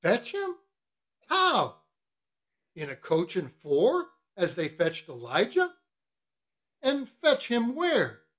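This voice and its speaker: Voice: medium pitch, huge pitch swings, takes it slowly, long pauses, a bit bright, exaggerated voice. A reader voicing a bossy, mocking whaling-ship officer in an audiobook, questioning with teasing mock solemnity. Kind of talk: literary audiobook character reading.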